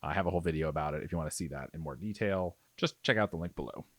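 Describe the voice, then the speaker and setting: A man's voice: low-pitched, racing, fairly bright tone, no echo. A man narrating a hands-on tutorial in a casual, conversational way as the host. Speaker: miniature painting tutorial host.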